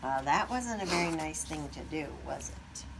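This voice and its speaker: A woman reading a children's story aloud expressively, pausing at times to put questions to young listeners. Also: low voice, animated, distant mic, background noise. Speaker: storybook reader.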